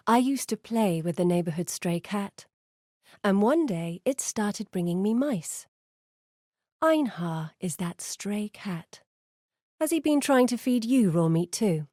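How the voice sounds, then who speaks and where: An actress playing an earnest, burdened woman explorer in a fantasy video game, speaking in a measured, articulate way. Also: mid-range voice, warm tone, no room sound, studio quality, close to an everyday voice. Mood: wry, confiding. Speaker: video game character voice actor. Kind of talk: dramatic fantasy game dialogue.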